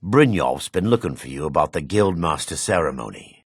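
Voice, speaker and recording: Low, raspy, haughty dark elf. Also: male voice, lively intonation, moderate pace, no room sound, studio quality, heavily acted. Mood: matter-of-fact. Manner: curt, disdainful, suspicious. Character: gruff, haughty adult male dark elf rogue. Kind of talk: video game character dialogue.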